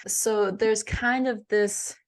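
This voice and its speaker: A woman's voice: mid-range voice, fairly even intonation, slow. A woman speaking as an interview guest, answering in an earnest, reflective, conversational way. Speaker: guest, author and cultural intelligence coach.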